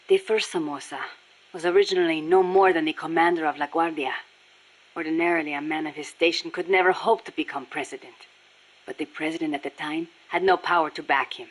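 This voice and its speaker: A woman's voice: low voice, poor audio. A woman voicing a passionate, embittered Nicaraguan Sandinista guerrilla with a Spanish accent in a video game, speaking in a solemn, earnest way. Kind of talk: scripted video game voice acting.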